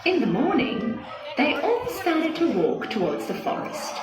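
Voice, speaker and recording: A woman narrating a scripted children's story in an expressive, dramatic manner. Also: high-pitched, measured pace, over a noisy crowd. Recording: echoey with music behind.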